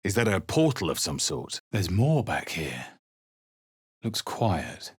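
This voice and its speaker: A low, slightly husky male adventurer for a fantasy game. He is sober and pragmatic, delivering short remarks with dry, measured understatement. The sound is close and dry, with little room around it. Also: big pitch swings, no room sound, full character voice. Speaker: video game player character. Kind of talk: video game voice lines.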